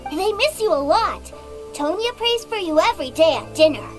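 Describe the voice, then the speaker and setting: An innocent little boy in a video game. The voice is high and childlike, earnest and guileless, with lively swings in pitch and music behind it. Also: normal speed. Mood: eager, innocent. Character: innocent eager little boy, adoring younger brother.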